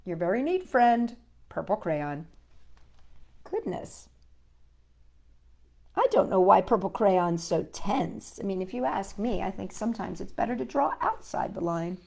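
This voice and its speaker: A woman hosting children's storytime, reading a picture book aloud expressively and deliberately with chatty asides. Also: mid-range voice, vocal fry. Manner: expressive, deliberate, talking to young listeners.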